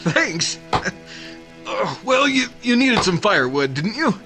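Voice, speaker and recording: A man as an animated, sheepish young suitor. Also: sits high, slow, over music, exaggerated voice. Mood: coaxing, sheepish. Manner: hesitant, stammering. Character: smooth-talking young suitor, sheepish, nervously earnest. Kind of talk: 1940s radio drama acting.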